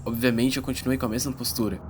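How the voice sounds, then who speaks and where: A man's voice: medium pitch, little pitch movement, fast talker, noisy background, natural voice. A Brazilian man playing a teenage schoolboy who narrates an uneasy fantasy adventure in a dramatized audiobook. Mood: narrating. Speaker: first-person narrator.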